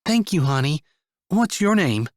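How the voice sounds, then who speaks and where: A man putting on a soft, sweet young mother. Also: a little high, sing-song, a little slow, no room sound, clean studio sound, pitched above the performer's own voice. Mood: soothing. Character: gentle young mother soothing frightened kids. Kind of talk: audiobook character voice.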